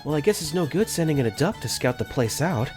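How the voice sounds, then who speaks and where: A man playing a gentle young dorm caretaker. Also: on the high side, expressive, fast talker, natural voice. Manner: gentle, narrating his own thoughts. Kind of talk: first-person visual novel narration. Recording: faint music under the voice.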